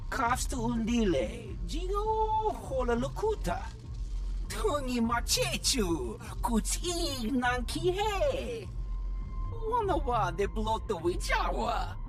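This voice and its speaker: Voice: sits high, sing-song, slow, music in the background, full character voice. An actor voicing an alien video game character who talks theatrically in an invented tongue. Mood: animated.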